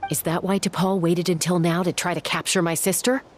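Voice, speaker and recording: Woman playing a confident, low-voiced young gunslinger woman. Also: flat delivery, brisk, a little hiss or hum, lightly acted. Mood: determined. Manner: direct, self-assured. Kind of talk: video game voice acting.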